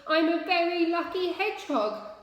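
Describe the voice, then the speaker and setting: A woman's voice: extremely high pitch, lively intonation, moderate pace, voice pushed up. Casting: innocent, earnest hedgehog boy for a storybook, played by a woman, heavy echo. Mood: triumphant. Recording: very echoey room with long reverb.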